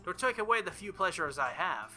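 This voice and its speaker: A man's voice: high voice, very animated, a little fast, sharp tone, soft background music, exaggerated voice. A man voicing an earnest, flustered teenage visual novel hero in a hesitant, stammering, insistent way.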